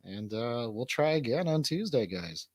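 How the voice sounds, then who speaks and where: A man's voice: medium pitch, very animated, unhurried, no echo, studio quality. A middle-aged man hosting a casual live stream in informal, sometimes sweary chat. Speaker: show host.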